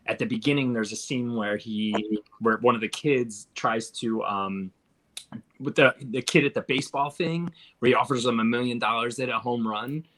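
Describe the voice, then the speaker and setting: A man's voice: medium pitch, normal speed, fairly bright tone, rough recording. A man in a casual, conversational film discussion. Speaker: film review panelist.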